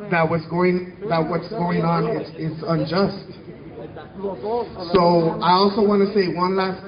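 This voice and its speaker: A young man from Mexico speaks at an outdoor protest, his high voice pressing each point with emphatic repetition. His words come through a loudspeaker with an echo, and the live-stream audio is dull. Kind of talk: rally speech.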